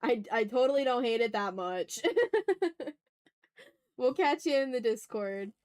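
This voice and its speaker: A woman's voice: a little high, unhurried, clean tone. A woman chatting casually as an art livestream host, informal and thinking aloud as she draws. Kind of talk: casual livestream chat while drawing.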